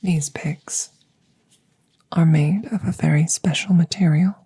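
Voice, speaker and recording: Alien scientist, played by a woman: low, breathy near-whisper, very unhurried, formal and detached, close-miked ASMR roleplay. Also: fairly even intonation, dark tone, full character voice. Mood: clinical, explanatory. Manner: slow, formal, precise, detached phrasing. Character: curious, clinical alien scientist tending a rescued human. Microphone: close microphone, ASMR style.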